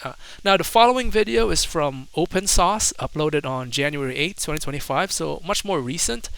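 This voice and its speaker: A man's voice: fairly high pitch, lively intonation, moderate pace, creaky, fairly bright tone, smooth, dry recording. A man giving opinionated, accusatory commentary in the manner of a video essay. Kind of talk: critical commentary.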